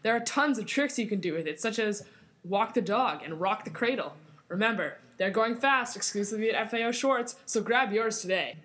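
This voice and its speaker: A woman playing a cheery, salesy pitchwoman reading a scripted 1920s radio advertisement. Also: animated, fairly quick, fairly bright tone, close to an everyday voice. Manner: salesy, enthusiastic.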